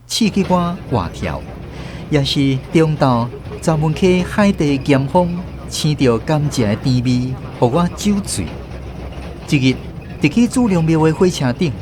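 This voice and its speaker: 台灣口音、起伏豐富的回憶敘事者. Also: male voice, medium pitch, background noise. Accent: Taiwanese. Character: grown narrator recalling a childhood train ride with mother. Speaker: narrator. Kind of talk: literary radio drama narration in first person.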